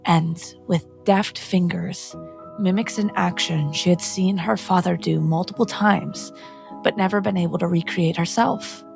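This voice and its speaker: A woman narrating her character's actions in a tabletop role-playing game, with deliberate, descriptive, dramatic storytelling. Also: lowish voice, vocal fry, a little mellow.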